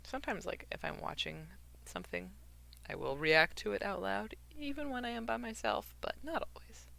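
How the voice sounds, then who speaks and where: A young woman streaming from home while she knits, chatting casually and rambling a little, her voice gravelly and slightly hoarse. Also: medium pitch, moderate pace, bright, forceful tone. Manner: rambling, chatty, with fillers and laughs. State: a bit hoarse from not talking for hours. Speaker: craft streamer. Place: at home, alone.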